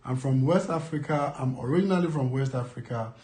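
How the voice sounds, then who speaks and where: A man's voice: mid-range voice, fairly quick, a little mellow. A young man from Ghana with a Ghanaian accent, chatting casually to camera as a vlogger, rambling and repeating himself with many fillers. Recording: echoey room.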